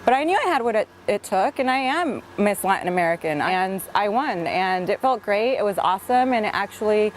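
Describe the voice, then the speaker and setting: A woman's voice: medium pitch, expressive, brisk, few pauses, slight background noise. Young woman, bilingual, earnest and upbeat, mid-range voice, casual interview delivery. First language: Spanish. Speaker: reality show contestant. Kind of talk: audition tape interview.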